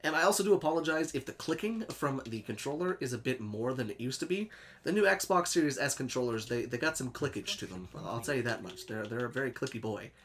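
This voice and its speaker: A man's voice: mid-range voice, animated, quick pace, a bit bright. A young man hosting a casual gaming let's play, chatty and upbeat, laughing easily, sounding a bit stuffy and sniffly from a cold. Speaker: gaming channel host. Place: home in Sweden.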